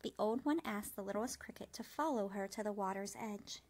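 A woman reading a storybook aloud for young children in an expressive, careful way. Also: mid-range voice, brisk. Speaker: storybook reader. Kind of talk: scripted read-aloud narration for young children.

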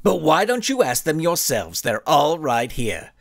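A man's voice: fairly high pitch, huge pitch swings, unhurried, gravelly, a bit bright, no echo, studio quality, full character voice. A man doing a cocky, smirking supervillain skull. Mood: smug. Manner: smug, showy speechmaking.

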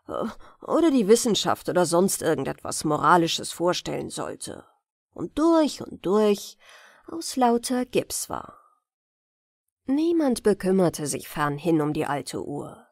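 A woman reading fairy tales as an audiobook narrator, with an expressive storytelling delivery. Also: mid-range voice, no room sound, studio quality. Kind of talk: bedtime fairy-tale reading, scripted narration.

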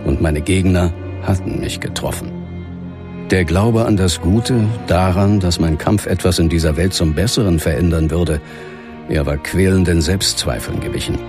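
Man acting grieving middle-aged ghost hunter, low somber voice, horror audio drama with music under it. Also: close to an everyday voice. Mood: brooding, grieving. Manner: somber, measured. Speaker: lead actor and first-person narrator. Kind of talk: dramatic audio-play narration.

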